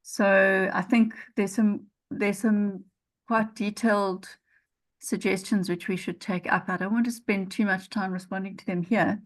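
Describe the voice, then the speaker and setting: A woman responds to panel comments as the report's author. She speaks earnestly in a mid-pitched voice and often restarts her phrases. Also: fairly even intonation, unhurried, poor audio.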